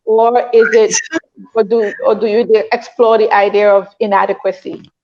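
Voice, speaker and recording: A woman's voice: medium pitch, flat delivery, moderate pace, muffled recording. A woman hosting an interview, asking long, probing questions. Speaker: interview host.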